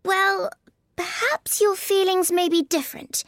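Storybook little girl, played by a man: very high voice, timid, well-mannered, easily flustered. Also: steady tone, a little slow, a bit bright, no echo, studio quality, exaggerated voice. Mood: politely earnest. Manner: polite and hesitant.

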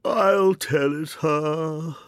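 A man's voice: smooth, dry recording, clean studio sound. A man doing a sobbing, mournful Mock Turtle. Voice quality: deep hollow tone. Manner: slow, mournful, sighing.

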